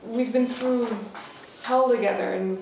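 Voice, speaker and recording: An actress playing a devoted, caring nurse in a radio thriller. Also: female voice, on the high side, fairly even intonation, unhurried, creaky, darkish tone. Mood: tender. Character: devoted, caring female nurse and old friend. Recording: very echoey, distant mic, faint noise.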